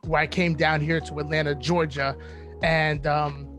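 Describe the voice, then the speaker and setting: A man's voice: a little high, level tone, a little slow, fairly bright tone, faint music behind. A thirty-year-old man with a New Jersey accent, chatting informally and playfully as an interview guest. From New Jersey, United States. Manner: playful, informal, repeats phrases. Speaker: interview guest, esports caster and content creator. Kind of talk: casual interview chat.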